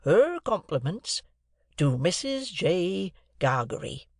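A storyteller voicing a slow, kindly country blacksmith who talks in halting, rambling phrases with mangled grammar. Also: medium pitch, big pitch swings, dry recording, clean studio sound, pitched above the performer's own voice, full character voice. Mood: slow, solemn.

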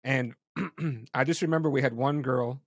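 A man's voice: medium pitch, fairly even intonation, normal speed, no echo. Man, interview host, casual, curious, stumbling restarts, dry sound. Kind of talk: interview conversation.

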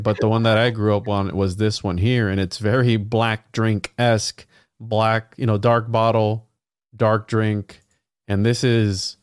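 A man's voice: on the low side, clean tone, dry recording, clean studio sound. A man from Puerto Rico co-hosting a livestream, chatting enthusiastically. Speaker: co-host. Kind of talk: casual livestream chat.

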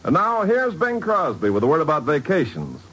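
A man's voice: fairly high pitch, huge pitch swings, normal speed, a bit bright, rough recording. A man reading scripted 1950s radio advertising as a commercial announcer. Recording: old 1950s radio broadcast.

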